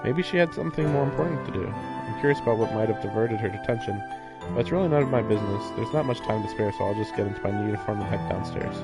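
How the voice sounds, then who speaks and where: A man's voice: lowish voice, fast talker, creaky, over loud music. A man reading a visual novel's narration aloud on a stream, breaking into casual commentary.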